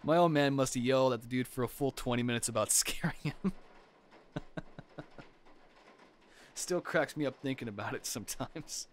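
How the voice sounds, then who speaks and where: A man playing an easygoing, affectionate young boyfriend in an intimate roleplay audio, telling ghost stories in a casual, slangy way with thinking pauses. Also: medium pitch, lightly acted. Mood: amused, animated. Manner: casual, slangy, hesitant pauses, storytelling aloud. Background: ambient noise of a storm.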